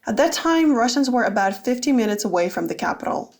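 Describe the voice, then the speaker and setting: A woman's voice: fairly high pitch, animated, fairly quick. A woman reading scripted narration as the narrator of a radio documentary.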